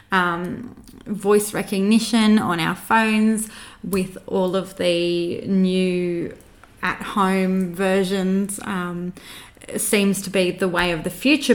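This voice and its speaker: A woman who is an educator, giving an informal talk on student learning tools. She speaks conversationally, with frequent fillers and restarts. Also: mid-range voice.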